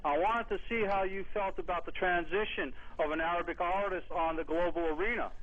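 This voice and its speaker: A man's voice: high voice, fairly quick, a bit bright. A man calling in to a show, earnest and complimentary as he asks his question. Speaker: caller. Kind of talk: phone-in question. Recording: dull distant phone-in audio.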